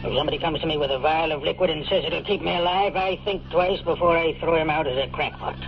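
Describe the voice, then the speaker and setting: A blustery old mayor, acted by a man, hoarse and gravelly, confiding his worries in a deliberate way. His voice sits slightly high for a man. It comes from an old radio drama, muffled and noisy. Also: natural voice. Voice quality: hoarse, low-speaking, gravelly. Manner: confiding, deliberate, throat-clearing. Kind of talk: 1950s radio drama acting.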